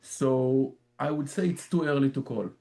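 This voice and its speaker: A man with an Israeli accent speaks in a warm, dark register. He answers at length in a radio interview, restarting phrases and repeating small words as he thinks. His delivery is earnest and explanatory. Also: medium pitch, gravelly. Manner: halting, repeats words while searching for phrasing. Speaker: author guest. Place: in Jerusalem, remote line.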